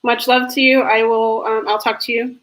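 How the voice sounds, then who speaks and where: A woman's voice: a little high, little pitch movement, normal speed, a bit bright, a little hiss or hum. A woman hosting a casual video interview, upbeat and encouraging, with lots of ums and you-knows. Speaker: host.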